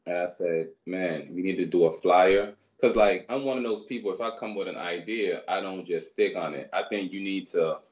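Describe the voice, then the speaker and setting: A man in his thirties from Barbados, raised in New York, telling his story as a guest in a relaxed, rambling way on a video call. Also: sits low, moderate pace, hardly any pauses, dull audio. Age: 30s. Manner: relaxed, rambling, many fillers. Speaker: guest, personal trainer. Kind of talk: casual storytelling interview. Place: small room indoors. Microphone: video call webcam.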